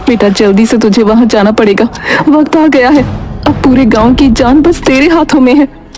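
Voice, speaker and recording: A woman voicing an old village grandmother in a cartoon story, speaking urgently and prophetically, with halting, repeated words. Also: high voice, mellow, voice pushed up, close to an everyday voice. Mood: urgent, solemn. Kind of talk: dramatic cartoon story acting. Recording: prominent background music. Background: music.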